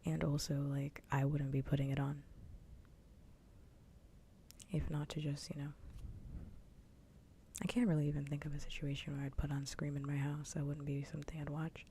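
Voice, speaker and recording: A woman speaking in a soft whisper, chatting casually in the style of an ASMR livestream. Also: low-pitched, level tone, normal speed, long pauses, a little hiss or hum. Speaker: livestream host. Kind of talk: casual chat with viewers.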